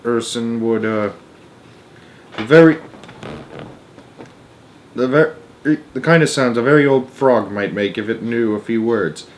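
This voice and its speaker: A man narrates a children's book aloud in an expressive amateur style, sometimes tripping over words and correcting himself. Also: medium pitch, measured pace, long pauses, a little hiss or hum.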